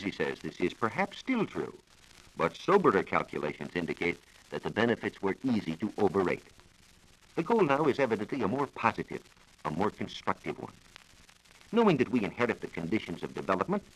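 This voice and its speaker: Low-voiced American man, 1940s radio science talk. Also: slightly rough, poor audio. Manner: formal, didactic, precise. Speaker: science reporter on a radio programme. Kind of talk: 1940s radio educational talk, scripted explanation. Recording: vintage 1940s radio broadcast recording.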